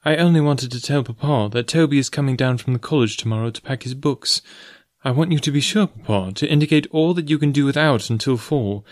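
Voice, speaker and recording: Man voicing a composed young woman, pitched up. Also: a little fast, dry recording, studio quality, close to an everyday voice. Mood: polite, brisk. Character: proud, composed young woman, professor's daughter.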